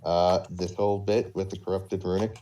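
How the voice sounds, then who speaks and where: A man recounting game story in a casual group chat, halting and often circling back to repeat phrases. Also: deep voice, flat delivery, mellow. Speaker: role-play server player recounting the story.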